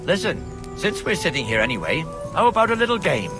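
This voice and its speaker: A man's voice: fairly high pitch, sing-song, brisk, over music. A man voicing a blunt, bossy town watchman in a medieval video game, with a chatty, hectoring manner. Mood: relaxed, grumbling. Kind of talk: dramatic game cutscene dialogue.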